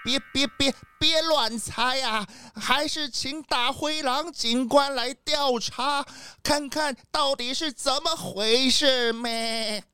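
A woman's voice: high-pitched, takes it slowly, hardly any pauses. A woman voicing a doddering, worried old male goat in a children's audio drama, stammering and bleating as he talks. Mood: nervous. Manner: stammering, bleating at phrase ends.

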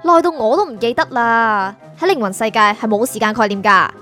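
A woman's voice: a little high, animated, brisk, sharp tone, soft background music. A woman with a Hong Kong Cantonese accent playing an aloof, matter-of-fact soul prosecutor who is naive about humans, in an audio drama.

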